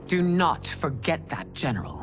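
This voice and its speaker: Stern regal empress, game cutscene, lowish voice, very expressive, formal, music behind. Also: female voice. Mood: stern, commanding. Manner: formal, commanding, measured. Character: stern, regal middle-aged empress and mother. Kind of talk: dramatic video game cutscene acting.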